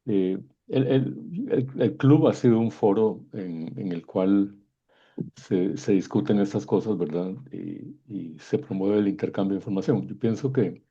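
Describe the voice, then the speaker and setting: A man in his sixties from Guatemala moderates with informal commentary. His voice is low, dark and rather husky. The recording is dull and lo-fi. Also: measured pace. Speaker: event moderator. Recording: dull, muffled lo-fi connection.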